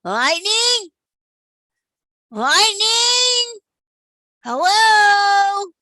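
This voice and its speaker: Skittish, folksy tow truck for a kids' storybook, played by a woman; high pitch, big swoops. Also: expressive, very slow, pushed and bright, no echo, studio quality, exaggerated voice. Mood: panicked calling. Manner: folksy, ungrammatical, stammering when scared. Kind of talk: children's storybook character voice.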